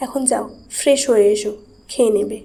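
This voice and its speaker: A woman voicing a caring, reassuring young wife in a horror cartoon, with a gentle, coaxing manner. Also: on the high side, steady tone, fairly quick, gravelly. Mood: soothing. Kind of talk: Bengali horror cartoon voice acting.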